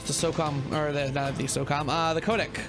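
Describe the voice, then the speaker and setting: A man doing casual live playthrough commentary. His voice is a bit high with noticeable fry, and his delivery is halting and offhand. Game music plays clearly behind him. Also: little pitch movement. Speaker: game streamer.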